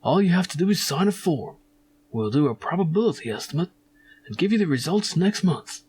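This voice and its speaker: A man voicing a slick, pushy insurance salesman in an adventure game, husky and wheedling. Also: a little high, animated, brisk, darkish tone, a little hiss or hum, pitched above the performer's own voice, close to an everyday voice. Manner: wheedling sales patter with questioning tags.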